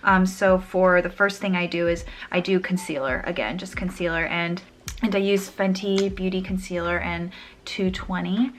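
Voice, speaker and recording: A woman's voice: on the low side, fairly even intonation, moderate pace, a little hiss or hum. A young woman chatting casually through a makeup tutorial, a little sniffly, rambling and trailing off mid-sentence. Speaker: beauty vlogger.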